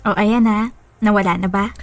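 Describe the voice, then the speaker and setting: A woman's voice: medium pitch, steady tone, normal speed, slight background noise, close to an everyday voice. A woman acting as a devoted, emotional young girlfriend in a radio love drama, with music behind her. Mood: sweet, doting. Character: affectionate young girlfriend, heartbroken and furious when betrayed. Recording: background music under dialogue.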